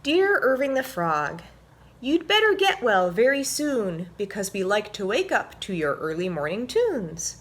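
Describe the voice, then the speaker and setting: A woman voicing friendly storybook forest animals reciting an affectionate rhyming message in a deliberate sing-song. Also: mid-range voice, very animated, a bit bright, husky, exaggerated voice. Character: friendly forest animals reciting a loving get-well card.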